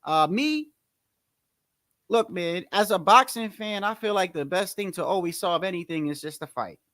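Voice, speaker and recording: A man with a New Jersey accent and a high-pitched voice, hosting a casual boxing livestream in a rambling, slangy way, repeating himself often. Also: expressive, normal speed, bright, forceful tone, dry recording, studio quality. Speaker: boxing commentary livestream host.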